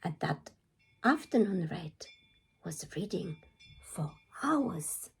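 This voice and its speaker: A woman reading a rhyming children's storybook aloud as an expressive storytime narrator. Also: fairly low pitch, slow, creaky, a little hiss or hum. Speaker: storytime reader.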